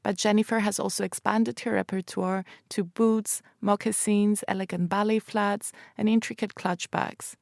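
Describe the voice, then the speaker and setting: A woman radio host with a measured, polished delivery. She reads a scripted introduction and moves on to interview questions. Her voice sits at a middling pitch and the sound is close and dry. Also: little pitch movement, creaky, no echo. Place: live podcast recording in a showroom apartment.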